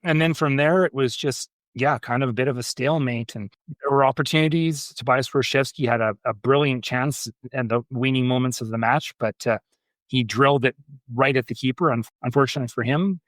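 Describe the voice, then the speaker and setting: A man's voice: mid-range voice, fairly quick, fairly bright tone, no echo. A man hosting a casual soccer podcast, chatty and friendly as he recaps the weekend's matches. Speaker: podcast host. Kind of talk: casual sports chat.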